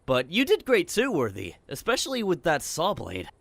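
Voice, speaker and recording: Man playing a high-voiced, modest sidekick. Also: wildly swooping pitch, normal speed, gravelly, fairly bright tone, no room sound, heavily acted. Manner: casual, hesitant, unassuming. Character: modest, agreeable male sharpshooter sidekick. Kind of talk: audio drama acting.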